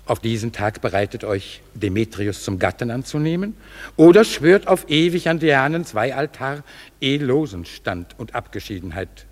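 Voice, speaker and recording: An actor playing a stately, authoritative duke who passes judgement in measured declamation in a classical verse radio drama. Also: male voice, mid-range voice, big pitch swings. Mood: solemn, commanding. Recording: hall-like reverb.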